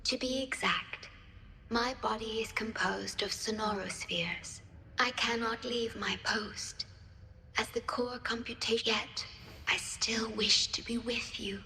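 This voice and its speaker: Softly breathy, echoing guardian woman. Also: fairly high pitch, fairly even intonation, slow pace, slight background noise, full character voice. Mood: solemn, tender. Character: gentle, solemn, devoted female guardian of an otherworldly archive. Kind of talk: scripted video game cutscene acting.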